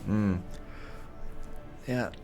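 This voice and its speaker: A man's voice: very slow, mellow, faint music behind. A man hosting a roleplay game video, giving commentary and speaking in character in a wry, musing way. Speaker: gaming video host.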